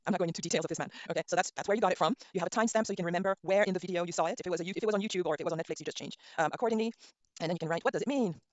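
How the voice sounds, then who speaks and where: A middle-aged woman whose first language is Norwegian teaches a relaxed online language class. She thinks aloud, spells words letter by letter and fills pauses with ums. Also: fairly low pitch, no echo. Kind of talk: casual online lesson, thinking aloud while browsing.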